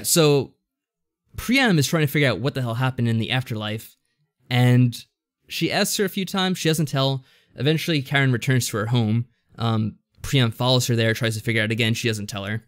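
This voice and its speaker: A man's voice: medium pitch, normal speed, dry recording, studio quality. A man co-hosting a casual podcast, recapping a story in a rambling, unsure way and often correcting himself. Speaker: podcast co-host. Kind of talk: casual chat recapping a visual novel story.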